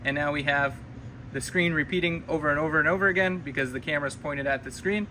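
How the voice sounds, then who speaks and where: A man's voice: fairly high pitch, fast talker, bright, forceful tone, slight background noise, low-quality recording. A man presenting a step-by-step product tutorial in an informal, explanatory way. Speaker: tutorial presenter for a security camera company.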